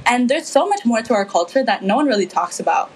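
A woman's voice: on the high side, big pitch swings, fast talker, husky. A teenage girl from the Philippines talking earnestly and with feeling in a casual discussion, with frequent fillers. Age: teens. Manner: earnest, impassioned, many fillers.

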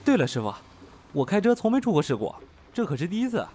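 A man's voice: sits high, sing-song, quick pace, warm tone, breathy edge, exaggerated voice. A man playing a chatty, over-familiar young bachelor driver in an audio drama.

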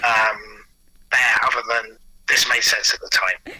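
A man being interviewed, chatting casually through anecdotes in a halting way, often repeating words as he starts a sentence. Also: medium pitch, fairly even intonation, pushed and bright, husky, no echo, rough recording. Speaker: interview guest, audio producer and writer.

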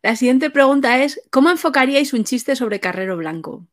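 A woman's voice: sits high, expressive, brisk. A woman with an accent from Spain hosting an informal interview and putting questions to guests. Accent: Spanish from Spain. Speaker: interviewer, host.

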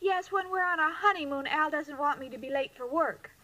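A woman's voice: high-pitched, fairly quick, close to an everyday voice. An actress playing a naive, lovestruck, dim-witted young woman in a 1940s radio comedy, earnest and literal, on an old recording. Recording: very noisy vintage radio recording.

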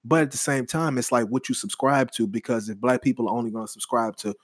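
A young man co-hosting a podcast in casual chat, animated and swearing freely as he rambles through his points. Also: mid-range voice, quick pace, no room sound, studio quality. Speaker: podcast co-host.

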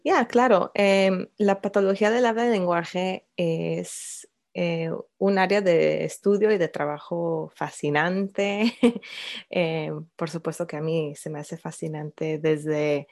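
A woman from Chicago talks through her profession as an interview guest. She speaks at a slow, unhurried pace, pausing on fillers and breaking into small laughs. Her voice sits a little low. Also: a little mellow. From Chicago, United States. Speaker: bilingual speech-language therapist, guest. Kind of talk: relaxed interview answers.

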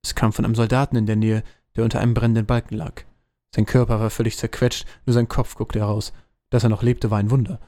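A man's voice: on the low side, little pitch movement, fast talker, warm tone. A man narrating a scripted fantasy audio drama as its storyteller. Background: music.

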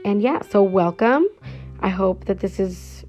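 A woman's voice: medium pitch, a little slow, a little mellow. A woman in her twenties talking casually and cheerfully on her own podcast, rambling with fillers. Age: 20s. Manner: chatty and upbeat, rambling with fillers. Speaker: podcast host. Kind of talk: casual solo podcast intro. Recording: slightly dull lo-fi sound with faint guitar music.

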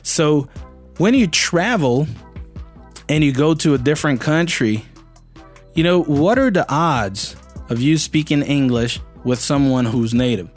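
A Brazilian man whose first language is Portuguese, teaching English in a relaxed, chatty way, often saying "you know" and "man". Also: a little high, unhurried, faint music behind. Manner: chatty, fills with "you know" and "man", acts out learners' voices. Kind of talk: casual video lesson.

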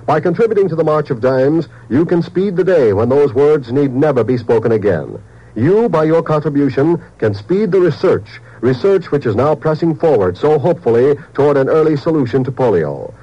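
A man's voice: on the high side, expressive, normal speed, slightly rough. A man reading a scripted 1950s radio charity appeal as an announcer, earnest, solemn and emphatic. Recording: dull old-time radio recording.

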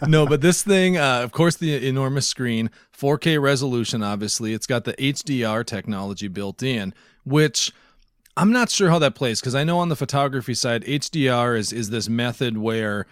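A man's voice: mid-range voice, normal speed, dry recording, studio quality. A man co-hosting a podcast, chatting casually and explaining things in a conversational way. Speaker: podcast co-host. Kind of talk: casual tech and gaming podcast chat.

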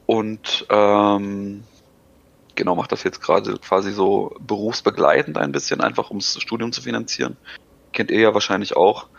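A man in his thirties speaks with a low, gravelly voice, pausing and filling gaps as he answers questions. The recording sounds dull and lo-fi, completely dry with no room around it. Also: steady tone. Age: 30s. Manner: halting, many filler sounds. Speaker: podcast guest. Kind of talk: casual interview answers.

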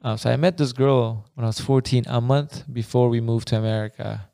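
A man's voice: mid-range voice, fairly even intonation, a little fast, gravelly, dark tone, dry recording, clean studio sound. A young man from Afghanistan, co-hosting a podcast in loose, rambling casual chat full of slang like bro and man. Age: young adult. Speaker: podcast co-host.

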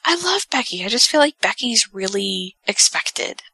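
Woman podcast co-host, bright tone, dry close mic, chatty and opinionated. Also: medium pitch, moderate pace, creaky, husky, no echo. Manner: animated, opinionated, lots of fillers. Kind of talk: casual wrestling chat.

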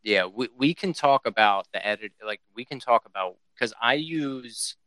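A man's voice: medium pitch, lively intonation, moderate pace, pushed and bright, dry recording, poor audio. A man hosting a podcast, chatting loosely and cursing, often restarting his sentences. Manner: loose, profane, rambling with restarts. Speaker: podcast host. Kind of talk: casual podcast chat.

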